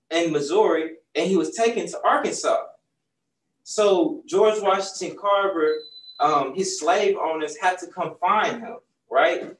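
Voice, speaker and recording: A young man, a children's book author, talking casually in a bookstore, often asking "right?" as he tells a story. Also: fairly high pitch. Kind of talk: casual talk to young audience. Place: bookstore, seated on a stool. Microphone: far camera. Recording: roomy sound from a distant mic.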